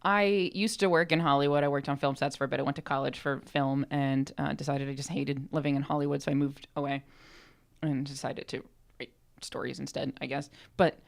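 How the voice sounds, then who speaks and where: A woman's voice: a bass voice, flat delivery, a little fast, creaky. A woman co-hosting a podcast, explaining things in an informal, rambling, self-deprecating way. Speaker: podcast co-host and co-writer. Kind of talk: casual explanatory chat. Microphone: podcast microphone.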